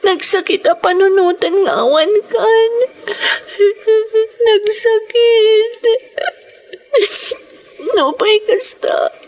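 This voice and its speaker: An actress playing a grieving, protective elder sister in a radio drama, tearful and drawn-out, with an Ilocano accent. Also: female voice, very high voice, heavily acted. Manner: tearful, slow, drawn-out wailing.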